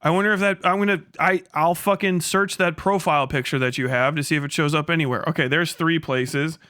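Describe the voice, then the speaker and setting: A man's voice: fairly high pitch, fairly even intonation, a little fast, sharp tone, clean tone, dry recording, studio quality. A man livestreaming a casual rant in a blunt, sarcastic, heavily profane way. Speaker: live streamer.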